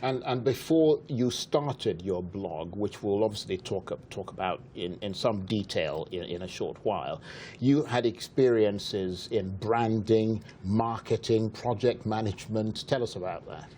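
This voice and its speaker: Man, TV interview host, measured questions, deep voice, faint hum. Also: mellow. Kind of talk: broadcast interview.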